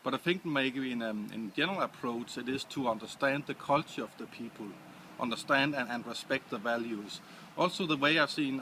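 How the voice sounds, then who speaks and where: A man from Denmark with a Danish accent, answering interview questions in English with many pauses and restarts. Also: mid-range voice, pushed and bright, slight background noise. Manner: hesitant, many restarts and fillers. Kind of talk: casual interview.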